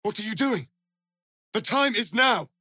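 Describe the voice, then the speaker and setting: An actor playing a proud, stern, noble alien rebel leader in a fantasy video game, speaking formally and with deliberate, solemn authority. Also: male voice, sits high, lively intonation, bright, forceful tone, dry recording, heavily acted. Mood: urgent command. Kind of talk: dramatic fantasy game acting.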